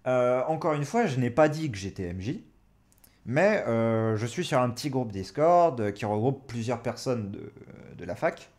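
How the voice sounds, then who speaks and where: A young man in his twenties speaks in a casual, conversational way. He thinks aloud as he gives advice, hesitating along the way. His pitch sits in a middle range for a man. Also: animated, normal speed, darkish tone. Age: 20s. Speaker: host, experienced game master giving advice. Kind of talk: casual chat, advice to beginners.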